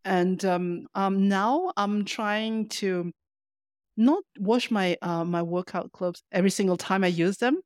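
A woman's voice: mid-range voice, unhurried, smooth, no room sound, studio quality. A woman co-hosting a podcast in casual conversation, explaining things hesitantly and often repeating words as she goes. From lives in Paris. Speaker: podcast co-host.

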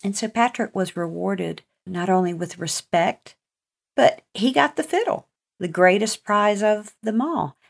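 A woman's voice: mid-range voice, animated, measured pace, creaky. An American woman hosting a life-lesson talk for children, speaking in an earnest, encouraging and emphatic way. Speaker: author and segment host.

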